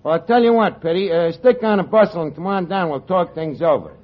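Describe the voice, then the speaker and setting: A wisecracking tavern manager. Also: male voice, high voice, expressive. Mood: wheedling. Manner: fast-talking street-slang wisecracker. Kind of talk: 1940s radio comedy acting. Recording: lo-fi old radio broadcast with hiss and studio audience.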